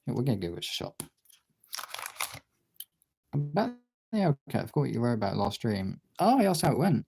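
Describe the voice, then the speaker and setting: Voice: mid-range voice, big pitch swings, a little fast, gravelly, a little mellow, slightly rough. A streamer chatting casually while drawing, rambling and thinking aloud in a self-deprecating way.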